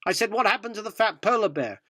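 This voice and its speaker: A man's voice: sits high, animated, fast, sharp tone, dry recording, studio quality, heavily acted. A man playing a cheeky, cynical yuppie journalist who narrates a radio drama in a wry, provocative way. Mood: needling.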